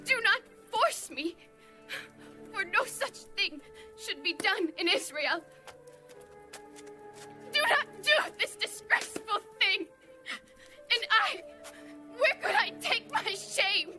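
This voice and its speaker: A woman playing a wronged young princess in a dramatized audio Bible, imploring slowly and earnestly over music. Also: very high-pitched, very animated, pushed and bright, exaggerated voice. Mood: pleading. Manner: slow, imploring delivery. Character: pleading, wronged young princess of ancient Israel. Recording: music under the voice.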